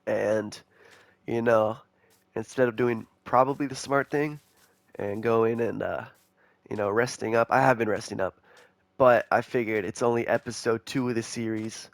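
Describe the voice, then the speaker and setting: A young man giving casual, rambling commentary over a game, excitable and chatty with viewers, his voice a little nasal from a cold. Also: medium pitch, moderate pace, creaky, no echo. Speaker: gaming YouTuber.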